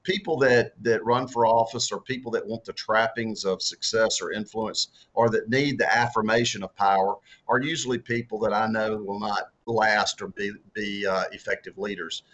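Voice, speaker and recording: Halting Southern man, low dull voice. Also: steady tone, fairly bright tone. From Deep South of the US. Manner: halting, repeats words, many fillers. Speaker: author and former political candidate. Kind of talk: faith-based interview answers.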